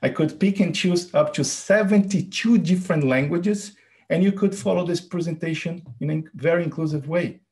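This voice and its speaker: Middle-aged man, earnest presenter, slightly high voice, explanatory with fillers. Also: moderate pace, a little mellow. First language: Portuguese. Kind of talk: tech presentation talk.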